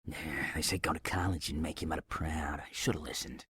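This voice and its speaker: A man voicing a gruff, grumbling, underpaid gangster thug with a machine gun in a video game. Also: very deep voice, steady tone, brisk, sharp tone, no room sound, clean studio sound, exaggerated voice. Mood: bored grumbling. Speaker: enemy henchman voice.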